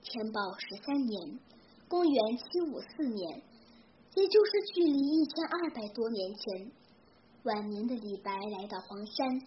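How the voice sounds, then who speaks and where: A teenage girl from Hefei narrating a scripted legend in a careful, expressive recital style. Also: on the high side, a little slow. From Hefei, Anhui, China. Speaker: young storyteller. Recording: dull lo-fi recording with clear background noise.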